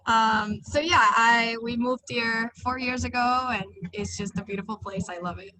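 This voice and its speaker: A woman's voice: on the high side, moderate pace, bright, forceful tone, rough recording. Chatty young woman from Venezuela. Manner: chatty, laughs easily. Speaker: guest dancer. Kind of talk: casual podcast interview.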